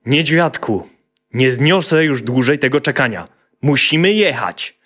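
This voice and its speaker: A man's voice: mid-range voice, expressive, measured pace, a bit bright, voice pushed up. Man playing a sturdy, exasperated dwarf father. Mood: impatient. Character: muscular dwarf father, deliberate but exasperated with son. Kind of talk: children's fairy tale character voice.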